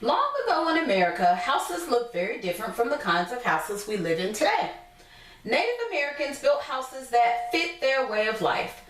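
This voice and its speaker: A woman teacher reading a picture book aloud to young children, pausing to explain things simply in her own words. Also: fairly high pitch. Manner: instructive, repeats and explains points simply. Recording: echoey room, distant from mic.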